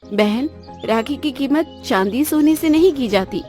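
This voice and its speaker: A woman voicing a gentle, loyal young sister from a poor family in a cartoon, speaking earnestly and plainly. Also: high-pitched, lively intonation, unhurried, soft background music. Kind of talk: cartoon moral-story dialogue in Hindi.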